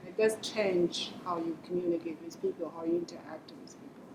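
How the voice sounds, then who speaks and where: A woman speaking English as a second language on a panel, halting and thoughtful as she works out her phrasing. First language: not English. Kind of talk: panel discussion. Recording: echoey room with audible rumble.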